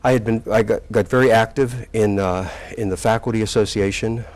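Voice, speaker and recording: Low-voiced man in his sixties, halting. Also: steady tone, a little mellow. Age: 60s. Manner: halting, with many fillers and restarts. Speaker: community college president, guest. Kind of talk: relaxed interview recollection.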